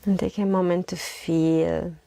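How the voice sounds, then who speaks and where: A woman leading a guided meditation in a soothing, unhurried manner, with long pauses, repeated phrases and a soft voice that is breathy at times. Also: on the low side, level tone, dry recording.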